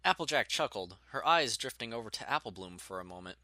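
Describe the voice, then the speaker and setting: A man narrating a scripted story in an expressive storytelling manner. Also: on the low side, brisk, no echo.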